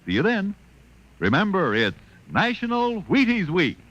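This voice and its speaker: Male American commercial announcer, exclamatory and swooping, fairly high, muffled 1950s radio sound. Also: huge pitch swings, unhurried, fairly bright tone. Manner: punchy, sloganeering, exclamatory. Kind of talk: upbeat 1950s radio advertising pitch. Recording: muffled old radio broadcast recording.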